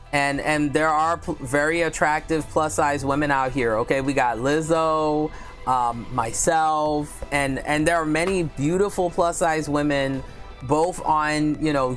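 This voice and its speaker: A woman with a deep voice hosting a casual book livestream, chatting candidly with viewers and reading their comments aloud. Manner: speaks slowly with many fillers.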